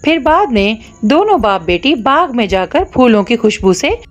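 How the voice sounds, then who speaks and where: A woman narrating a scripted children's cartoon story in an expressive storytelling manner. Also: a little high, moderate pace, faint music behind.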